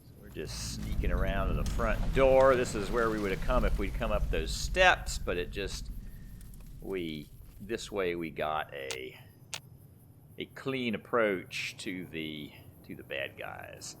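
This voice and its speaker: Male let's play host: deep, slow, conversational delivery with casual rambling, noisy recording. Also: expressive. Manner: rambling, conversational, thinking aloud. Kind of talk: casual gameplay commentary.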